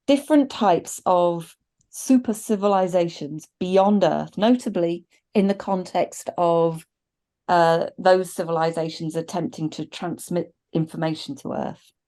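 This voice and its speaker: A woman's voice: fairly low pitch, dark tone. A middle-aged woman in casual discussion as a podcast co-host, talking in a thoughtful, hedging, conversational way on a video call. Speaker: podcast co-host reviewing films. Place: indoors at a desk, video call with virtual backdrop.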